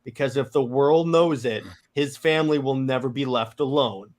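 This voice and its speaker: A man reading the part of an earnest, guarded superhero in a serious, slightly halting read-aloud delivery. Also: medium pitch, darkish tone, close to an everyday voice. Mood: grave, resolute.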